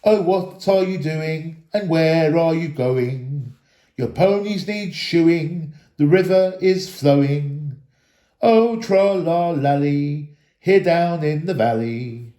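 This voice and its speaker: A man voicing merry, teasing woodland elves in a playful, drawn-out storybook sing-song. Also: a little high, mellow. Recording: echoey room.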